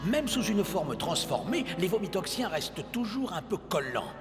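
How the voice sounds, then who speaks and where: A dramatic, knowing adult in a cartoon. His high voice rings with long reverb. Loud music plays behind him. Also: sing-song, moderate pace. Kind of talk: French animated series dialogue.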